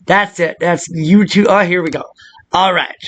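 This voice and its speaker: A man with a noticeably high-pitched voice hosts a casual video tutorial. He rambles in a loose, unscripted way, drifting into muttered asides. His delivery is crude and joking, with plenty of profanity. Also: steady tone, normal speed, a bit bright.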